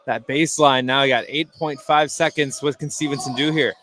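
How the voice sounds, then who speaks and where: A man's voice: mid-range voice, fairly even intonation, a little fast. A man giving conversational color commentary on a live basketball game. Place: indoor basketball gym during a game. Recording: faint gym noise behind the voice.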